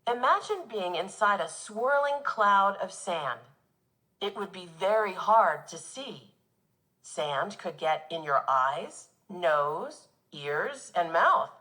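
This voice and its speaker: A woman teacher reading a nonfiction children's book aloud in an expressive, instructive way for young pupils. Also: fairly low pitch, slow, low-quality recording.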